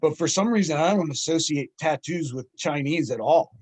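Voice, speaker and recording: Male podcast host, slightly high voice, rambling storyteller. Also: moderate pace, a little mellow, husky. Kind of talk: casual group chat, telling a joke.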